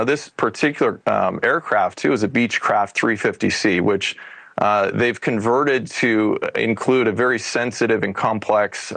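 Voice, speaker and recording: A man's voice: fairly low pitch, gravelly, fairly bright tone, dry recording. An American man speaking as an expert guest, explaining a case in a conversational interview. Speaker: expert guest, UFO investigator and former federal agent.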